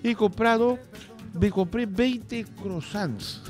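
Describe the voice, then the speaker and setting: A man's voice: high-pitched, slow, music in the background. A man playing a dejected fan of the French team, complaining in mock-mournful tones. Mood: glum. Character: dejected middle-aged male French football fan.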